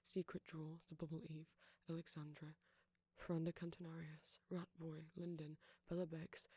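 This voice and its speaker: A male ASMR creator reads a scripted outro of thanks. His voice is breathy and husky, with little movement in pitch. The sound is dry and close. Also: monotone, moderate pace, dark tone, dry recording.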